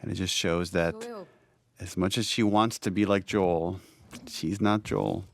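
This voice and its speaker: A man's voice: low voice, fairly even intonation, unhurried, gravelly, a little hiss or hum. A man giving unscripted behind-the-scenes commentary in a thoughtful, explanatory way, feeling out his phrasing. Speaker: game director giving commentary.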